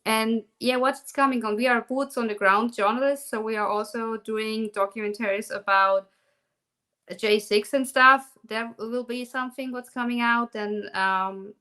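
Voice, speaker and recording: A woman's voice: a little high, steady tone, pushed and bright. German lady guest on a live stream, lots of ums and restarts. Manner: halting, many ums and restarts. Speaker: guest, documentary maker. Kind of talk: live online conversation.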